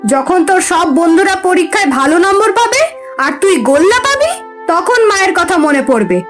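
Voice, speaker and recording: A woman's voice: very high-pitched, sing-song, fairly quick, fairly bright tone, soft background music, exaggerated voice. A woman voicing a strict but caring mother in a cartoon story, speaking in an emphatic, instructive way. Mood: stern.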